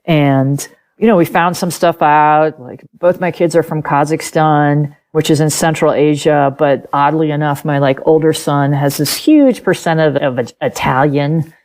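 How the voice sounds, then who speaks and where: A woman's voice: low voice, unhurried. A middle-aged woman whose first language is German, a guest telling her own story candidly and animatedly in an interview. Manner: candid, animated, acts out past conversations and laughs often. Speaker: author and podcast guest.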